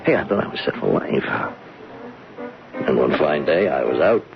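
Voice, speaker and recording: A man's voice: rumbling low, animated, normal speed, vocal fry, full character voice. An American actor playing a smug, persuasive middle-aged adman turned thief in an old-time radio drama, with a sly, self-assured manner. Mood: wry. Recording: muffled radio broadcast recording with music.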